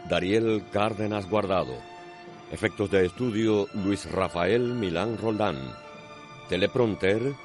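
A man with a Cuban accent giving dramatic scripted narration as the narrator of a radio soap opera. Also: low voice. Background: music.

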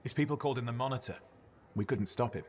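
An actor playing a wry, loyal sidekick in a video game, with a casual, slightly hesitant way of talking. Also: male voice, mid-range voice, quick pace, slight background noise, lightly acted. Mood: concerned.

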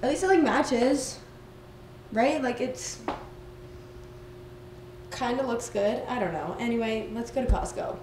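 A woman's voice: a little high, unhurried, long pauses, background noise. Chatty young woman vlogging on a phone. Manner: chatty, upbeat, lots of "like" and "literally". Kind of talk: casual vlog chat. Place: large warehouse store, indoors. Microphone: phone camera held at arm's length.

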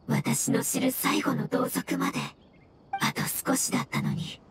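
A woman voicing a gentle, solemn mushroom spirit in an anime game, speaking formally and with earnest courtesy. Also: sits high, huge pitch swings, unhurried, gravelly, very noisy, full character voice. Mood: sorrowful. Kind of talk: Japanese anime game voice acting. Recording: game music behind.

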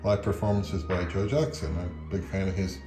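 A man's voice: sits low, steady tone, brisk. A man in his fifties talking casually and offhandedly, like a host of a home product review vlog. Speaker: channel host, record collector. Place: indoors at home, living room and kitchen.

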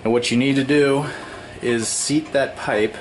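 A man talking through a home plumbing repair step by step at his workbench, relaxed and explanatory, often pausing with "um" and correcting himself. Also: medium pitch, a little hiss or hum, low-quality recording. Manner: relaxed and explanatory, with frequent ums and self-corrections. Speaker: DIY home repair presenter. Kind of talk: casual hands-on tutorial. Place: indoor workshop at a wooden workbench.

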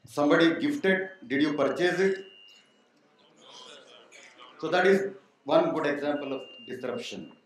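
A man's voice: a little high, animated, unhurried, pauses often, a little hiss or hum. A middle-aged man moderating a panel with a handheld mic, putting questions straight to the audience. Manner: quizzes the audience directly. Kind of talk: panel discussion. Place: large auditorium stage. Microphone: handheld microphone. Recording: very echoey hall.

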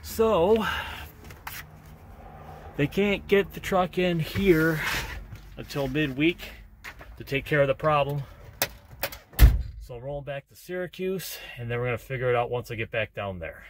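A man in his forties, a truck driver vlogging casually to camera from his cab, talking in a relaxed, rambling way with plenty of "uh" fillers. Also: on the high side, measured pace, pauses often, noisy background. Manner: easygoing, rambling, with many "uh" fillers. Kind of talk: casual vlog chat to camera. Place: inside a truck cab and outdoors in winter. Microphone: handheld or dash camera at arm's length.

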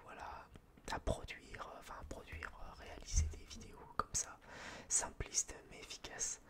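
A person with a French accent whispering in a breathy, unvoiced voice, chatting casually and haltingly in a rambling, self-deprecating relaxation vlog. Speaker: video creator reviewing film purchases.

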